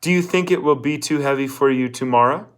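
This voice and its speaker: A man's voice: mid-range voice, brisk, close to an everyday voice. Storybook character, old woman voiced by a man, blunt, bossy, emphatic, fortune-teller giving orders. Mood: instructing. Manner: emphatic, instructing, asks rhetorical questions.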